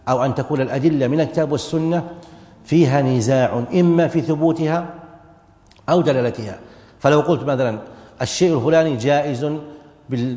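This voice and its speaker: A man gives a step-by-step lecture on a religious text, numbering each point and often restarting his words. His voice is warm and mellow, and it carries with a long, echoey reverb through a large hall. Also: medium pitch. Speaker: Islamic jurisprudence teacher. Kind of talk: classroom lecture explaining a text.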